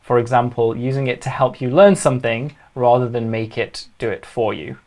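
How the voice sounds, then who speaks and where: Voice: mid-range voice, normal speed, a little mellow. A speaker hosting a live online question session for schools, reading out questions and chatting with the panel, laughing easily and using many fillers. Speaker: panel moderator.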